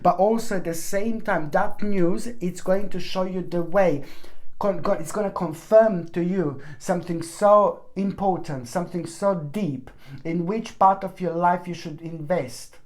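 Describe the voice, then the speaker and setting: A woman's voice: on the low side, a little slow, a little mellow. Earnest woman giving a tarot reading. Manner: earnest, repeats herself, addresses viewers with endearments; many fillers and restarts, pleading emphasis. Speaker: tarot reader. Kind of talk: weekly tarot reading monologue to camera.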